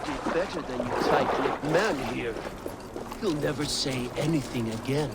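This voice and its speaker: A man's voice: medium pitch, normal speed, close to an everyday voice. Grim Viking warrior man acting, noisy backdrop. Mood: menacing. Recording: clear outdoor noise, insects behind.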